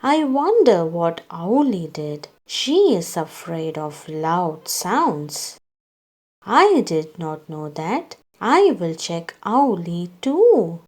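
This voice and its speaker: A woman reading a children's story aloud, acting out its dialogue in a deliberate way meant for young listeners. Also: on the low side, a little mellow.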